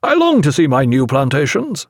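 A man playing a genial, steady sea captain in an audiobook, with hearty, reassuring authority. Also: on the high side, moderate pace, no echo, studio quality, exaggerated voice.

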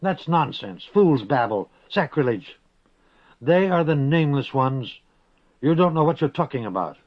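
A man's voice: fairly high pitch, lively intonation, moderate pace, dry recording, pitched above the performer's own voice, close to an everyday voice. A man voicing a proud, fierce young priestess who talks scornfully and defiantly, in a storybook reading. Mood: indignant. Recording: dull lo-fi recording.

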